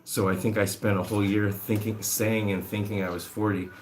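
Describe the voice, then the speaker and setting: A man's voice: fairly low pitch, flat delivery, a little fast, a little mellow, a little hiss or hum. A man in his forties chatting casually to live-stream viewers at home, rambling and self-amused while he does chores around his guinea pigs. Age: 40s. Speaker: animal rescuer streaming to viewers.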